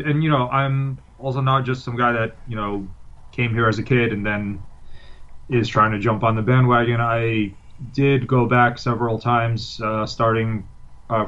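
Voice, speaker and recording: A man's voice: mid-range voice, flat delivery, moderate pace, fairly bright tone, dull audio. A man from Ukraine, a writer appearing as a guest, answering questions hesitantly with many ums and uhs. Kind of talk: interview answers.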